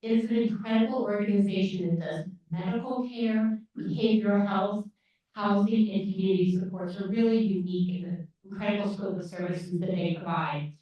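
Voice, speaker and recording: A woman's voice: mid-range voice, steady tone, moderate pace. A woman moderator introducing panelists at a live event, with frequent fillers and restarts. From grew up on a dairy farm. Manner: hesitant, many fillers. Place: large echoey lecture room. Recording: distant echoey hall audio, dull.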